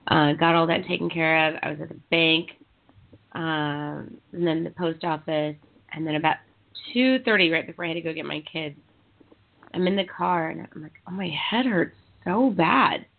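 A woman's voice: low voice, normal speed, gravelly. A woman in her thirties chatting casually as a host, candid and self-deprecating as she tells everyday stories. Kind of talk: casual chat. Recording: dull phone-like audio.

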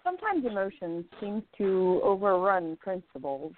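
A woman's voice: mid-range voice, big pitch swings, moderate pace, background noise, lightly acted. A woman playing an independent young newspaperwoman of the 1920s in a radio play, with an earnest, emphatic delivery. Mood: tender. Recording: tinny phone-like line, roomy echo.

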